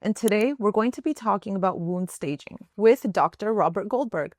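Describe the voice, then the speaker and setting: A woman's voice: mid-range voice, animated, normal speed, no echo, clean studio sound. Friendly woman hosting an interview. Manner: friendly, effusive. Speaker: podcast host.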